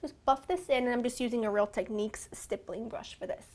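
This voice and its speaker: A woman in her thirties, a little raspy from a cold, chatting through a makeup tutorial to her viewers from a small room at home. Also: fairly high pitch, brisk. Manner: chatty, gushing, warm address to viewers. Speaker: beauty vlogger.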